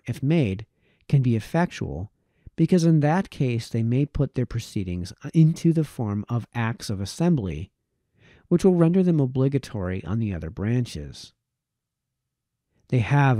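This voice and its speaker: A man reading a formal historical text aloud as a narrator, in measured, formal phrasing. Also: medium pitch, mellow, no room sound, clean studio sound. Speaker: reader, narrator.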